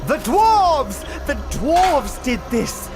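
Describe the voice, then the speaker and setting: Very high, petulant elf lord. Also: male voice, sing-song, drawn-out words, music in the background, exaggerated voice. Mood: indignant. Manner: florid, theatrical lamenting. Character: petulant, melodramatic young elf lord, self-pitying schemer. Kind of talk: video game cutscene acting.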